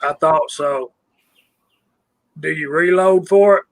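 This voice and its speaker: Higher-voiced man in his fifties chatting. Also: slow. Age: 50s. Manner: relaxed, self-deprecating, asks guests questions. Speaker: live stream co-host. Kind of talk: casual chat.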